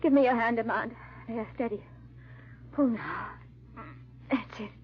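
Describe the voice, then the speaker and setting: A woman's voice: on the high side, a little slow, natural voice. An actress playing a frightened young noblewoman on the run, who also narrates her tale, in melodramatic, formal 1940s radio drama style. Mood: urgent, frightened. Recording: muffled vintage radio recording.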